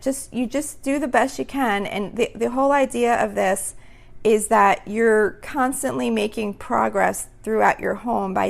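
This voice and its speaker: A woman's voice: medium pitch, creaky. A woman hosting a casual solo podcast, rambling with lots of "um" and "like", her voice a little worn as she starts to lose it. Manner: rambling, many fillers like "um" and "like". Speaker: podcast host.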